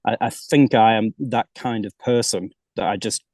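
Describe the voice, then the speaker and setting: A man's voice: fairly low pitch, moderate pace, a little mellow, no echo, clean studio sound. A man from the UK, a golf coach living abroad, chatting as an interview guest and often restarting his sentences. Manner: chatty, many restarts and repeated words. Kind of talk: casual interview chat.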